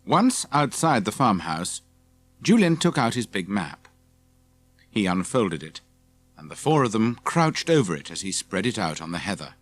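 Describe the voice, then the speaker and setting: A man's voice: lowish voice, sing-song, normal speed. A man reading scripted children's story narration as an audiobook narrator.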